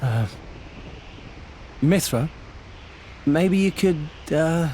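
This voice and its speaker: A man's voice: fairly high pitch, lively intonation, very slow, warm tone, a little hiss or hum. An actor playing an earnest, kind-hearted teenage boy hero in a video game cutscene. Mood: hesitant, gentle.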